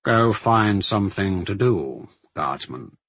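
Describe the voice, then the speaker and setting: A man voicing a smug, powerful magister in an audiobook, mockingly courteous, with a measured, sneering manner. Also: deep voice, expressive, a bit bright, breathy edge, no room sound, heavily acted. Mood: curt, contemptuous.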